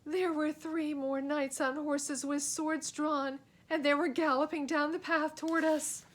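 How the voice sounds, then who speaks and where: A woman reading a children's book aloud as a storyteller, in an expressive, dramatic way. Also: high-pitched. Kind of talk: animated children's book narration.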